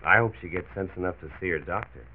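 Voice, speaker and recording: An American actor playing a wry, easygoing husband in a 1940s radio drama. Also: male voice, low-pitched, quick pace. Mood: wry, concerned. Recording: muffled, hissy 1940s radio transcription disc.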